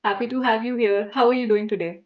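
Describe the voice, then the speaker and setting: Podcast host: woman, slightly high voice, conversational interviewing. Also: quick pace, dull audio.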